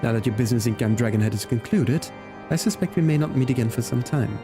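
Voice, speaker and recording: Man voicing a young noble lord for a fantasy game, courteous and earnest, formal phrasing, medium pitch, music underneath. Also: lightly acted. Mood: courteous farewell. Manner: formal, measured, polite. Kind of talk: reading fantasy game dialogue aloud. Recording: music behind the voice.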